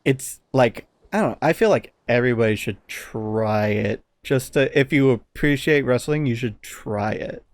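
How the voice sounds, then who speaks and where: A man's voice: medium pitch, animated, normal speed, few pauses, dry recording. Man, podcast host, casual chat, hesitant delivery, very dry recording.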